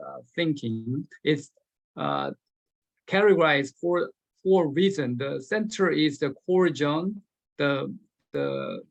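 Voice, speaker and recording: Korean-accented male panelist, slightly high, gravelly voice, slow halting slide talk. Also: low-quality recording. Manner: hesitant, many fillers and restarts, broken phrasing. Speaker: webinar panelist presenting slides. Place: remote, speaking from his location.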